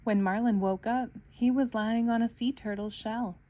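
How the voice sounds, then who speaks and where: A woman storyteller reading a children's book aloud in an expressive narrating style for kids. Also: fairly high pitch, normal speed.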